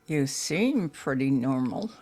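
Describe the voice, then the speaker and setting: A woman's voice: very deep voice, big pitch swings, slow, dark tone, soft background music, full character voice. An actress playing a curious, insistent lady of a big house in an audio drama, talking in a probing, coaxing way.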